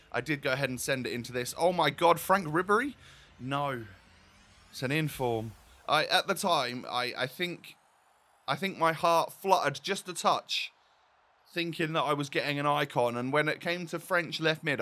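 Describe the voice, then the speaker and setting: A man's voice: mid-range voice, bright, forceful tone. A man hosting a gaming video with casual, chatty, rambling and self-deprecating commentary.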